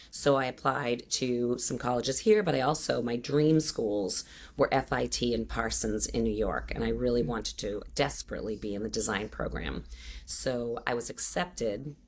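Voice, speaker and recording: A woman's voice: very deep voice, moderate pace. A woman in her fifties from the Boston area, telling her life story in a casual interview with frequent fillers and restarts. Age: 50s. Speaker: guest entrepreneur.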